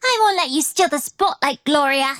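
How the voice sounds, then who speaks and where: A competitive young girl from a video game. The voice is extremely high and youthful. The delivery is challenging and full of spirit. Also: expressive, normal speed, a bit bright. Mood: feisty, determined.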